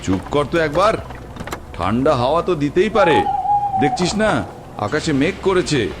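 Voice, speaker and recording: A man playing a fearless middle-aged village bullock-cart driver in an animated ghost story, scolding and boasting. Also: fairly high pitch, moderate pace. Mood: scolding, boastful. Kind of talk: animated horror story voice acting. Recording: very noisy background with clicks.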